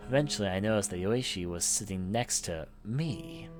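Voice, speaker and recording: A man's voice: fairly low pitch, normal speed. A man reading a visual novel aloud as a let's play host, narrating with his own off-the-cuff commentary, game music behind him.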